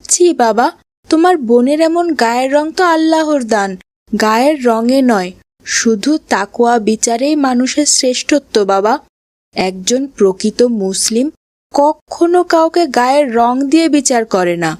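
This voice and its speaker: Woman acting gentle mother, high voice, reproving, children's cartoon, dry close recording. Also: expressive, moderate pace, no room sound, natural voice. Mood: gently admonishing.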